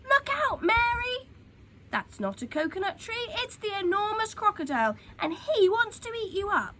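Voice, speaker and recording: A big, blustery storybook hippo, acted by a woman calls out urgent warnings. The voice is pushed high and loud, swooping with alarm. Clear noise sits behind it. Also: sing-song, moderate pace, exaggerated voice.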